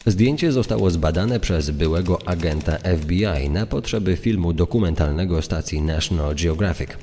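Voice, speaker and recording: A man's voice: low voice, moderate pace, warm tone. A man reading scripted true-crime narration. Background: faint music.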